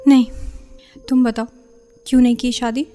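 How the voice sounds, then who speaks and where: Radio play role: actress as married female writer, guarded and hurt, slightly high conversational voice, light music behind. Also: level tone, moderate pace, mellow, close to an everyday voice. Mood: tentative, probing. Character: married woman novelist, guarded, hurt former lover. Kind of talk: radio drama acting.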